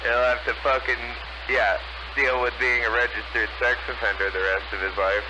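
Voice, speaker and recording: American man, average pitch, informal and slightly halting delivery, coming through a noisy CB radio. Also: little pitch movement. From United States. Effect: CB radio transmission. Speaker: CB radio operator. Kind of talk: casual CB radio chat.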